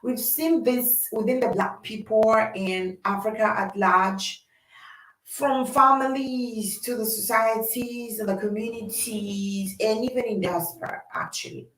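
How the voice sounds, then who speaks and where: A woman's voice: on the high side, a little slow, fairly bright tone, recorded from a distance. A young woman, earnestly hosting a casual livestream and speaking directly to her viewers. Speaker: livestream host. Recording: roomy sound from away from the mic.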